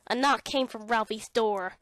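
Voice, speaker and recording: A woman narrating a read-along children's storybook in an expressive, dramatic way. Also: fairly high pitch, measured pace, no echo.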